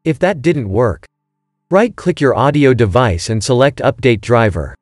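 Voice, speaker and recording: A man's voice: medium pitch, animated, a little slow, no room sound, studio quality. A man reading scripted step-by-step instructions in short commands, as a tutorial narrator. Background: background music.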